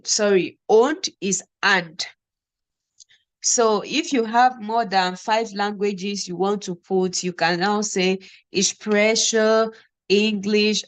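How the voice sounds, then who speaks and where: A Nigerian woman teaches a beginner class at a very slow pace. She breaks example sentences into single words and says each one on its own. Her voice sits at a middle pitch for a woman. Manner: slow, repeats example phrases word by word. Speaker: German teacher.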